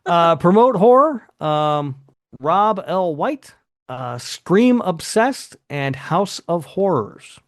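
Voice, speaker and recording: Casual higher-pitched man hosting a podcast. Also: big pitch swings, slow pace, dry recording, clean studio sound. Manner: casual, profane, hesitant. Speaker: podcast host presenting awards. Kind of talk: casual podcast chat with announcements.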